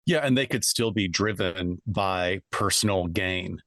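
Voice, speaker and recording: A man co-hosting a podcast, talking through psychology analytically in a measured, explanatory way. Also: sits low, fairly bright tone, no echo, studio quality. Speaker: podcast co-host; psychology professional.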